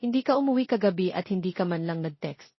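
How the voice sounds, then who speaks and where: Narrator lady as a worried middle-aged mom, fussing, a bit husky, slightly muffled. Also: fairly low pitch, expressive, fast talker, no room sound. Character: concerned middle-aged mother.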